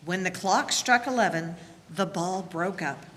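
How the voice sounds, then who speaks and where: Bright, slow-paced woman narrator. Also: on the low side, lively intonation. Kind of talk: scripted dramatic reading narration. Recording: reverberant hall, faint noise.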